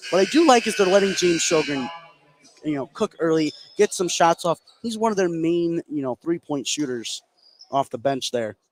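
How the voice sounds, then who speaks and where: A man's voice: a little high, animated, normal speed, slight background noise, low-quality recording. A man giving conversational color commentary and analysis during a live basketball broadcast. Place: indoor high school basketball game.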